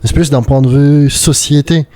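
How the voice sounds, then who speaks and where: A man's voice: a little high, steady tone, normal speed, mellow, no room sound. A young man hosting a podcast, talking in a thoughtful, casual way and often restarting his sentences. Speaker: podcast host. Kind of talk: casual chat.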